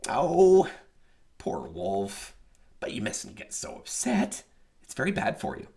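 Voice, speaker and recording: A man voicing a cheerful, clever little girl in a high voice. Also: wildly swooping pitch, takes it slowly, creaky, mellow, full character voice. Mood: soothing.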